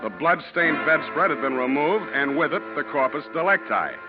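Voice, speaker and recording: An actor playing a wry, streetwise private detective in 1940s American radio style, with a dry, sardonic, slangy delivery. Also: male voice, on the high side, lively intonation, normal speed, close to an everyday voice. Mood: wry narration. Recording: dull vintage radio recording with music.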